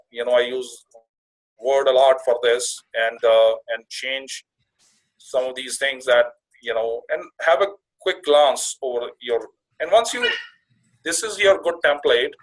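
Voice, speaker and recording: Halting man giving an informal walkthrough. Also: mid-range voice, low-quality recording. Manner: halting, often repeats and restarts phrases. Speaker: career coach presenting to conference call members.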